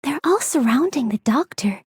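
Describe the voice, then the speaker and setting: A woman's voice: high-pitched, lively intonation, no echo, studio quality. A woman voicing a shy, hesitant young woman in a video game, speaking tentatively. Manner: tentative, halting. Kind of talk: video game character voice lines.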